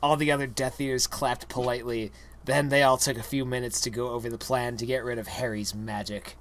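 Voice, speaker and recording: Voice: medium pitch, level tone, brisk, slight background noise. A young adult reading a parody story aloud with exaggerated, comedic dramatic narration. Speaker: reader.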